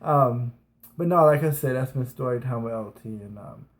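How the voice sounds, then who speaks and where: A man's voice: mid-range voice, moderate pace. A man in his thirties casually telling stories from his school days on his vlog, rambling with restarts and fillers. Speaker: vlogger. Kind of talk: casual storytelling.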